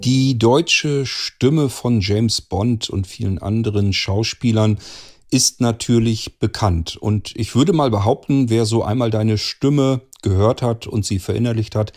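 A man's voice: on the low side, measured pace, darkish tone. A man asking wordy, prepared interview questions in an articulate, informal way.

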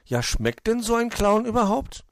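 A man's voice: high voice, expressive, normal speed, no room sound, studio quality, pitched above the performer's own voice. A man voicing a small, naive hedgehog child in a children's story, eager and easily indignant. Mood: puzzled.

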